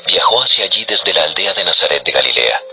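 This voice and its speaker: A man narrating scripture as a solemn, measured storyteller for a dramatized audio production. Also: sits low, animated, gravelly, pushed and bright, rough recording.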